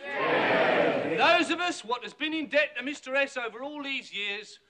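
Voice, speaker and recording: An actor playing a cheerful street soup seller who makes a pompous speech with rough grammar and leads a crowd in song in a film musical. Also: male voice, very high voice, animated, a little slow, bright, forceful tone, full character voice. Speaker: street vendor giving a speech and singing. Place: crowded snowy street set.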